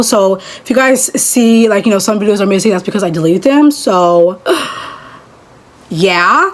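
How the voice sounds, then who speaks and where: A young woman of eighteen runs through her makeup routine for her channel. She talks casually and rambles between products. Now and then she breaks into animated bursts. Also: mid-range voice. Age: young adult. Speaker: beauty vlogger. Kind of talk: casual makeup tutorial chat.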